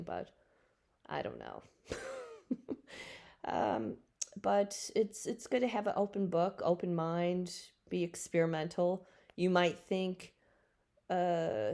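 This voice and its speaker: Slow-talking, creaky-voiced woman in her forties. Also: fairly low pitch, a little mellow. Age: 40s. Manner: frank, matter-of-fact, rambling. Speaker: podcast host answering listener questions. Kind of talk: casual unscripted solo podcast talk.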